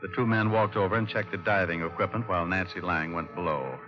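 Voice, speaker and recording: An actor playing a wry, tough private detective in an old radio drama, speaking and narrating in clipped, hard-boiled phrases. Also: male voice, deep voice, fast, lightly acted. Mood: terse narrating. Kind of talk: 1940s-50s radio detective drama acting. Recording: dull old radio recording with loud music.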